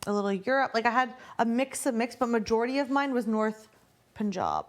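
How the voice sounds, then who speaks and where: A woman in casual group chat, talking chattily and pausing on fillers. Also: on the high side, sing-song. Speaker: reality show contestant.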